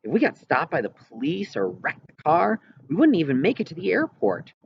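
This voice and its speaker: A man's voice: fairly high pitch, wildly swooping pitch, moderate pace. A man reading a first-person story aloud as scripted audiobook narration. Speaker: audiobook reader. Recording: muffled, clearly noisy home recording.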